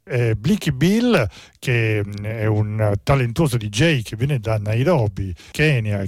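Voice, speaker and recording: A man presenting a music radio show, introducing songs in an unhurried way with occasional pauses. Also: medium pitch, darkish tone, no echo, clean studio sound. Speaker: radio host.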